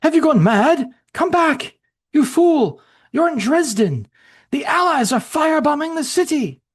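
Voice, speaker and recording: A man doing a frantic shouting German officer. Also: very high voice, big pitch swings, measured pace, voice pushed up, heavily acted. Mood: alarmed. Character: panicked German officer friend, male, adult.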